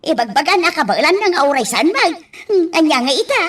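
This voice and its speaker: An actress playing a fretful middle-aged housekeeper in a radio drama, full of exclamations. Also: female voice, very high voice, big pitch swings, fairly quick, fairly bright tone, distant mic, heavily acted. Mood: worried. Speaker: actor. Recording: faint background noise.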